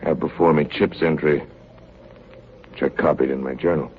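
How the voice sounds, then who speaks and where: An actor playing a ship's chief engineer, gravely narrating a doomed Arctic expedition in a 1930s radio drama. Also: male voice, extremely low pitch, steady tone, normal speed. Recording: muffled, noisy 1938 radio broadcast recording.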